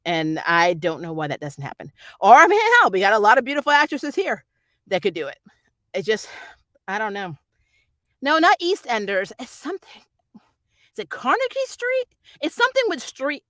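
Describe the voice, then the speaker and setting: A middle-aged woman talks casually to her viewers in a mid-pitched voice. She rambles candidly, drops swear words and tends to chuckle as she goes. The sound is dry and close, with no room around it. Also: very animated, moderate pace, long pauses, pushed and bright, no room sound. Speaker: host of a TV and film review channel; actor who auditions. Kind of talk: casual unscripted livestream chat.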